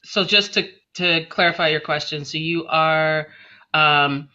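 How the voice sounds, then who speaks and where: A man's voice: a little high, fairly even intonation, a little slow, bright, forceful tone. A man on a panel in an online meeting, conversationally clarifying questions and giving short answers. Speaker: scholarship panelist. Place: virtual meeting on a video call. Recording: dull video-call audio.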